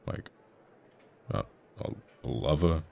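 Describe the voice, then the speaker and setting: A man plays a smitten male fighter pilot in an intimate ASMR romance. His voice is extremely low and full of creak, and it moves slowly. He is flirty yet easily flustered, tripping shyly over his words with a tender sincerity. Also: animated, mellow, exaggerated voice. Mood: flustered, curious. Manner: halting, stammering, bashful. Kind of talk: romantic ASMR audio roleplay.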